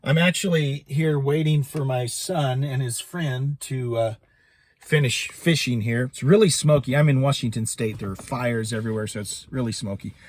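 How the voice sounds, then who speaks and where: A man's voice: mid-range voice, normal speed, a little mellow. A middle-aged American man giving a casual, chatty vlog update while sitting in a parked truck. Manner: conversational, chatty. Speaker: YouTube host and voiceover podcaster.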